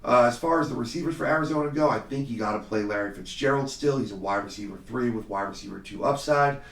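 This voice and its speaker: A man hosting a solo podcast in a casual, confident way, slightly hoarse from a cold, with frequent fillers. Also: mid-range voice, fast, reverberant, far from the mic. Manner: confident, conversational, many fillers. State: slightly hoarse, under the weather. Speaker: podcast host. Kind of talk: solo fantasy football podcast talk.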